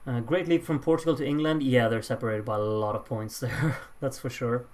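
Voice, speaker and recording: Someone hosting a live points vote with casual commentary, announcing results with drawn-out pauses and slipping in dry, offhand asides. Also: mid-range voice, steady tone, a little mellow. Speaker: host announcing song contest voting results.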